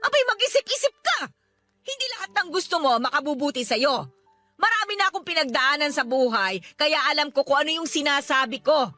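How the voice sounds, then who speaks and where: Shrill, domineering older mother. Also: lively intonation, a little fast, bright, forceful tone, no echo, soft background music. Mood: stern, threatening. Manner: scolding, bossy. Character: domineering, threatening older mother, strict landlady. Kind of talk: Tagalog radio drama acting.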